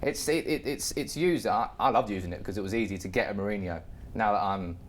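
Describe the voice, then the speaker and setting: Lowish voice guy, talks fast, dramatic football rant, faint hiss. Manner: dramatic storytelling, keeps talking over others. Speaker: Manchester United fan pundit. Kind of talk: heated football debate.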